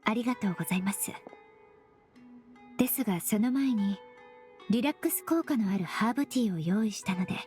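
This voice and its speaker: A woman playing a composed, caring young perfumer who speaks with measured, formal politeness in a Japanese game. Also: medium pitch, lively intonation, darkish tone, soft background music, lightly acted. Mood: polite, caring.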